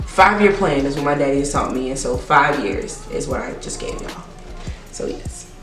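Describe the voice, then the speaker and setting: A woman's voice: deep voice, expressive, normal speed. A nineteen-year-old woman, chatting casually and rambling through a Q&A vlog. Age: teens. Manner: chatty, rambling, repeats herself. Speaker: vlogger. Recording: roomy, distant camera sound with faint music.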